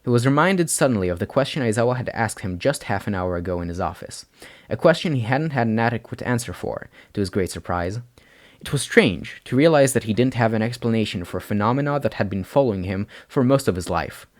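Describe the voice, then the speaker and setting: A man's voice: fairly low pitch, animated, a little fast. A man reading a story aloud as scripted narration, as an amateur audiobook reader.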